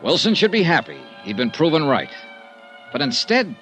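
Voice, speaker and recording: A man's voice: on the high side, huge pitch swings, normal speed, fairly bright tone, soft background music. An actor playing a dry, wry male insurance investigator in a 1950s radio drama, narrating in a clipped report style. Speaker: narrator and lead.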